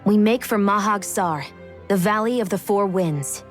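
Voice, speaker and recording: A woman voicing a blunt, impatient young woman traveler in a video game, direct and sharp-tongued. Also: medium pitch, unhurried, lightly acted. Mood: decisive. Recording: music under the voice.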